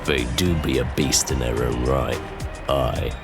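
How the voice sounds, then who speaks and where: A man voicing a gruff, brutish male pig-man who runs an arena, talking in coarse, mocking slang. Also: a bass voice, measured pace. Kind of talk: audio drama roleplay. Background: background music.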